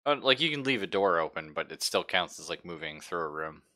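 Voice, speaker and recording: A man's voice: low voice, animated, a little fast, sharp tone. A man casually chatting and narrating as game master of a live-streamed tabletop roleplaying game.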